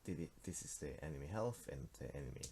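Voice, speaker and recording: A man's voice: very deep voice, expressive, quick pace, creaky, noisy background. A teenage man from Switzerland with a Swiss German accent, a native Swiss German speaker, casually talking through his card game in a devlog, hesitant, with many fillers and restarts. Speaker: game developer. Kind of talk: casual screen-recorded devlog.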